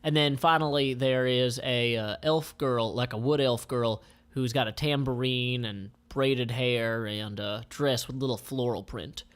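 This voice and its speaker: A man informally describing comic panels aloud as their creator, with halting, improvised phrasing. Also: mid-range voice, fairly even intonation, clean tone.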